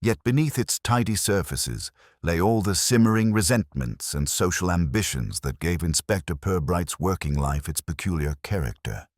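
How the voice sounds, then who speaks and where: Very deep male narrator, animated intonation. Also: no room sound, clean studio sound. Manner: literary, formal storytelling. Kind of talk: scripted story narration.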